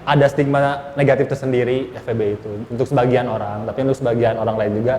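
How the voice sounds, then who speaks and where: A man's voice: mid-range voice, fairly even intonation, warm tone, slight background noise. A man in his twenties, a final-year university student, giving thoughtful answers. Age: 20s. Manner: thoughtful, measured. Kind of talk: casual interview answers. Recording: echoey room with long reverb.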